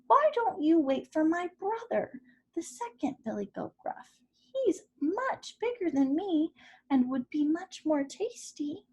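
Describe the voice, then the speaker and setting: A woman voicing the smallest billy goat, a tiny timid creature with a squeaky, pleading voice. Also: very animated, unhurried, heavily acted, low-quality recording.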